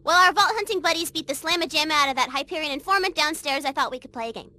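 A woman's voice: high voice, very fast, bright, forceful tone. A woman voicing a hyperactive, unhinged teenage girl game master who tells her story in theatrical slang for a video game. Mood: showy, excited. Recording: music behind the voice.

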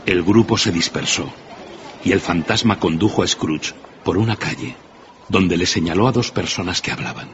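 A man narrating a radio drama as a grave storyteller, measured and dramatic. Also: deep voice, slight background noise. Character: grave storyteller of a ghost tale. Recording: dull radio recording, music behind.

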